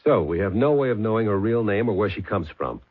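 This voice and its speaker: An actor playing a persistent police sergeant with a clipped, probing way of questioning, in a 1970s radio drama. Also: male voice, lowish voice, expressive, a little fast, husky. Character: persistent middle-aged male police sergeant. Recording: tinny old radio broadcast.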